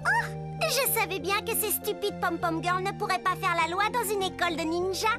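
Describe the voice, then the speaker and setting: Super shrill angry cartoon girl, ranty and scornful, music loud under her. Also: lively intonation, moderate pace. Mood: resentful. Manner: ranting, scornful. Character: hot-tempered, indignant young ninja schoolgirl. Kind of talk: cartoon voice acting.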